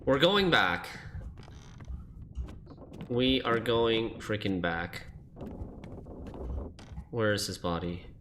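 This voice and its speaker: A streamer giving live gameplay commentary, thinking aloud in an animated, self-deprecating way. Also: medium pitch, very slow, pauses often, slight background noise.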